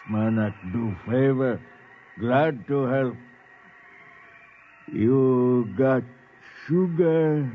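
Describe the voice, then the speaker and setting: Casting: slow, fatalistic alien, broken English, polite, mid pitch, vintage radio sci-fi, dull old recording. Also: male voice, a little hiss or hum. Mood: courteous, regretful. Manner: halting broken English, formal and unhurried. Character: placid, slow-witted male alien native, courteous and fatalistic. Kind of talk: 1950s radio drama acting.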